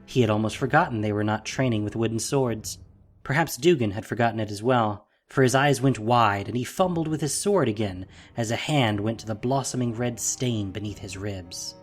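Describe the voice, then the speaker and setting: A man's voice: fairly low pitch, moderate pace, faint music behind. A man narrating a scripted fantasy story in a dramatic, solemn way.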